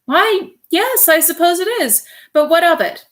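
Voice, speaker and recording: A woman reading aloud, voicing a thrifty, sharp-tongued middle-aged housewife in a prim, fussy manner. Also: extremely high pitch, expressive, unhurried, pitched above the performer's own voice, exaggerated voice. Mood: brisk.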